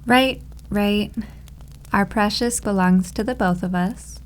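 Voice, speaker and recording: A woman's voice: mid-range voice, takes it slowly, a little hiss or hum, natural voice. A woman acting as a doting, possessive maid girlfriend in an ASMR roleplay, cooing and confidently teasing.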